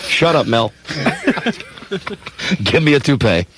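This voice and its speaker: A man hosting a radio show with casual, bossy, mock-gruff comic banter. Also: medium pitch, animated, slow pace, a loud crowd. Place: radio studio. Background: music.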